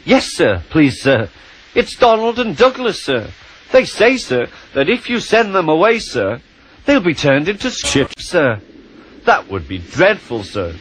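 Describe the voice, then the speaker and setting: A man's voice: a little high, big pitch swings, measured pace, a bit bright, slight background noise, voice pushed up, low-quality recording. A man voicing a small, nervous young male tank engine who stammers and keeps saying "sir". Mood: pleading. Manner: timid, stammering, very polite. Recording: music playing under the voice.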